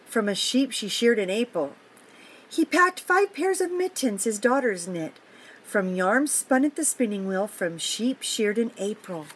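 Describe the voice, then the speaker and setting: An older woman reading a picture book aloud to children, pausing for simple, encouraging chatty asides about the pictures. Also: medium pitch, expressive, slightly rough, low-quality recording. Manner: encouraging, explains pictures simply to young listeners.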